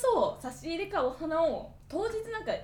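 A woman co-hosting a podcast, telling anecdotes in a giggly, animated way during casual chat. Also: very high voice, normal speed. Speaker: podcast co-host. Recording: roomy, distant mic, loud overlapping voices.

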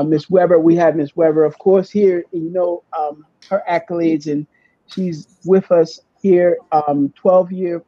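A podcast host introducing guests in a live online session, speaking haltingly and often restarting phrases. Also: mid-range voice, mellow, dry recording, dull audio.

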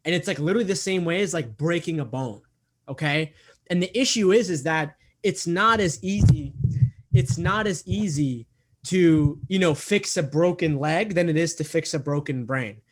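Earnest young man, slightly high voice. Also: normal speed. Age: 20s. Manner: earnest, repeats himself, many fillers. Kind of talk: casual interview talk.

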